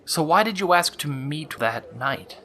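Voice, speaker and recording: Polite, stammering, animated gentleman investigator. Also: on the high side, measured pace, full character voice. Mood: polite, probing. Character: Doctor Watson, polite, nervous gentleman investigator. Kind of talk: audio drama acting.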